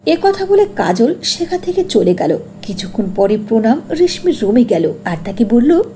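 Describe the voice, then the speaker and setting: A woman's voice: on the high side, very animated, moderate pace, warm tone, slight background noise. A woman narrating a scripted cartoon fairy tale.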